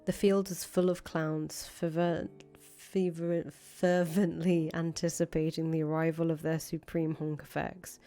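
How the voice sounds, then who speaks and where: A woman reading a visual novel aloud as a streamer, narrating dramatically in a measured, deliberate way with an occasional stumble over a word. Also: low-pitched, little pitch movement, dark tone, faint music behind.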